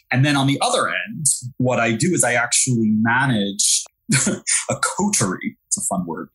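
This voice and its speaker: A man from Brooklyn speaks in a mid-range voice that has a husky edge. He is a guest in an interview, explaining his work in an easy, chatty way. He often repeats a word when he restarts a thought. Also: mid-range voice, a bit bright, poor audio. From Brooklyn, New York. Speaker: guest, digital marketing analyst. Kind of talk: casual interview talk.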